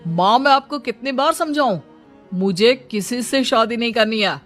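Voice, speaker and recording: A woman's voice: on the high side, expressive, moderate pace, fairly bright tone, faint music behind. Woman voicing a troubled, dutiful young married son. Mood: protesting.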